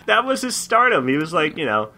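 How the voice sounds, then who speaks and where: A man's voice: on the high side, very animated, brisk, bright, forceful tone. A man co-hosting a comedy podcast, playfully improvising fantasy stories in a casual way. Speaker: podcast co-host and storyteller.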